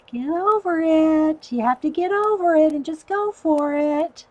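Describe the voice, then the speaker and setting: A woman's voice: very high-pitched, lo-fi sound. A middle-aged woman, chatting casually with viewers while she draws, laughing often. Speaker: artist livestreamer. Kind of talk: casual chat while drawing.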